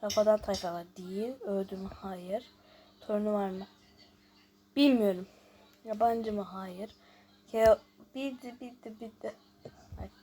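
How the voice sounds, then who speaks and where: A woman's voice: medium pitch, steady tone, measured pace, pauses often, warm tone, slight background noise. A woman hosting a casual gameplay video, chatting and thinking aloud as she reads out questions and answers them. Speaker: YouTube host.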